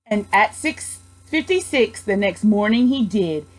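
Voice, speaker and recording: A woman reading a children's storybook aloud with expressive, rhythmic narration. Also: mid-range voice, measured pace, rough recording. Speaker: storybook reader, student teacher.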